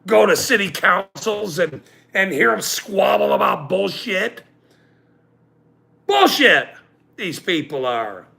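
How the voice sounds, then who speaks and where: A man in his forties hosting a livestream, ranting angrily with profanity and loud outbursts, then joking about himself. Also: high voice, big pitch swings, takes it slowly, vocal fry. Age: 40s. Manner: angry, profane, with loud outbursts and self-mocking laughs. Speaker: channel host. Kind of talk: livestream rant.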